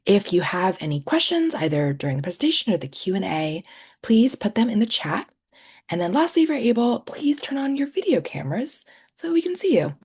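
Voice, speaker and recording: A woman opens a webinar over a video call, reading her welcome and housekeeping notes from a script. Her voice is breathy and lively, rising and falling a lot as she goes, and sits at a middle pitch. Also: a little fast. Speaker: webinar host. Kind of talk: reading an introduction.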